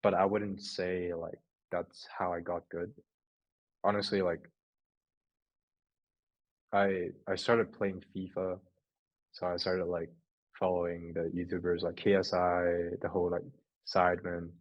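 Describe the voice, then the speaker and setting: Young male interview guest, Cantonese first language, from Hong Kong, low-pitched, relaxed informal chat with plenty of "like". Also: a little slow, long pauses, muffled recording. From Hong Kong, moved to England at fourteen. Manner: informal, says "like" and "you know" often, some swearing. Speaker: podcast guest, writer and photographer.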